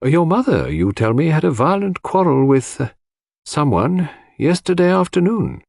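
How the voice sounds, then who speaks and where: A man acts out a suspicious lawyer in an audiobook mystery. His delivery is dry, formal and deliberate, with wide, pointed pitch movement and a close, echo-free sound. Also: very animated, creaky, darkish tone, full character voice. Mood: pointed, grave.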